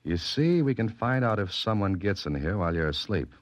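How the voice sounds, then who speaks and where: An actor playing a wry, brisk private detective in 1940s radio drama acting style. He cracks dry jokes and gives clipped orders. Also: lowish voice, sing-song, no echo. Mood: instructive.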